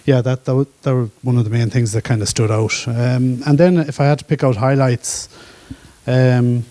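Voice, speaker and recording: Relaxed man with a dark, flat voice. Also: medium pitch, normal speed, no echo. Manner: relaxed, hesitant, many fillers. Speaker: current master's student. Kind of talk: panel testimonial. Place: university event hall.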